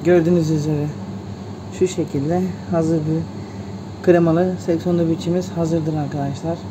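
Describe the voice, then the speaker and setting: Man explaining a cocktail with a flat voice. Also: a little high, moderate pace, noisy background. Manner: plain, repeats "arkadaşlar" as he narrates each step. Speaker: bartender host. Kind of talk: step-by-step cocktail demonstration. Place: hotel bar indoors.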